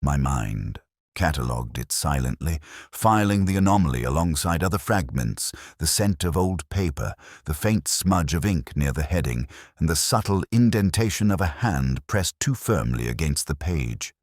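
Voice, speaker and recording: Male narrator for detective fiction. Extremely low voice, expressive pitch movement, reflective and measured delivery. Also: no echo, studio quality. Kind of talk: scripted first-person detective mystery narration.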